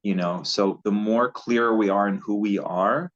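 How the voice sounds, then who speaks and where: Low-voiced male coach, earnest and meandering, heard over a muffled call line. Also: measured pace. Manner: earnest, loose and rambling, laughs at own slips. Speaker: guest relationship coach. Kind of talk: casual spiritual advice talk. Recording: dull lo-fi audio.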